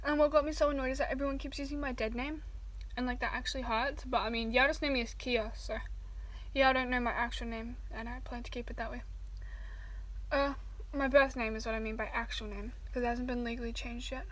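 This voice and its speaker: A woman's voice: on the high side, fairly even intonation, a little fast, fairly bright tone, poor audio. A woman reading fan fiction aloud at home, then chatting in a rambling way full of fillers while eating.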